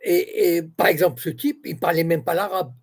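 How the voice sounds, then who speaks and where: A man's voice: on the high side, moderate pace, slightly rough. A man from Morocco whose first language is Arabic, answering questions as an interview guest and explaining point by point with many restarts.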